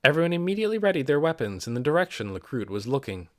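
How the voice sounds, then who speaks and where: Expressive man narrating, very lively pitch. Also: very fast, no room sound, studio quality. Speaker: amateur audiobook reader. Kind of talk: scripted fantasy novel narration.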